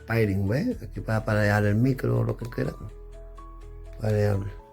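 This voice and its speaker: A man's voice: lowish voice, fairly even intonation, normal speed, gravelly, a little mellow, soft background music. A middle-aged man from chatting casually indoors as he demonstrates radio equipment, thinking aloud while he fiddles with the devices. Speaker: radio gear reviewer.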